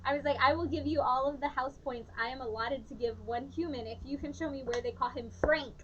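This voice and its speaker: Playful higher-voiced woman co-host. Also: brisk. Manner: playful, laughs easily, tells stories. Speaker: co-host, teacher. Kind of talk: casual chat. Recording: dull lo-fi livestream audio, faint noise.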